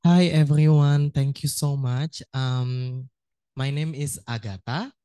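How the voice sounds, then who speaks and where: An audience member from Indonesia asking a question from the floor, friendly and a little hesitant. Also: male voice, mid-range voice, steady tone, slow, dark tone, smooth, no room sound, studio quality.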